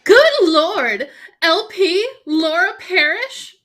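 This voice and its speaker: A woman playing an excitable, inquisitive lady companion to a detective in a live radio mystery script reading. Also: very high-pitched, very slow, full character voice. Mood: astonished.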